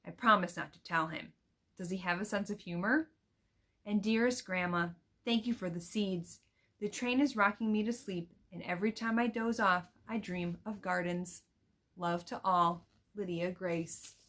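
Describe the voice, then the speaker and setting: An earnest, eager young girl from a picture book tells her letters home, read out by a woman. The delivery is careful and expressive, in the manner of storybook reading. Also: medium pitch, normal speed, lightly acted. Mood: affectionate.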